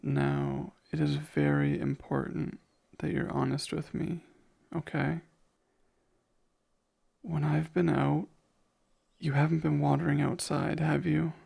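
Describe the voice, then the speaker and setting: A man playing a gentle, protective vampire caretaker in a soft ASMR roleplay, soothing and a little hesitant, with formal wording. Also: a little high, level tone, slow, creaky, husky, full character voice. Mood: careful, serious.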